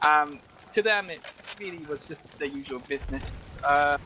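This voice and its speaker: A man's voice: fairly high pitch, moderate pace, noisy background. A man talking casually and explaining things as a guest commentator over gameplay.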